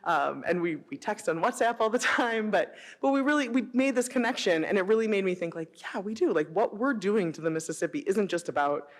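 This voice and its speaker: American woman, middle-aged, animated storyteller, informal public talk, long hall reverb. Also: medium pitch, brisk, hardly any pauses. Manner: animated storytelling, acts out quoted dialogue. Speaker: city sustainability director presenting. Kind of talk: informal public presentation with personal anecdotes. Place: presentation hall before an audience.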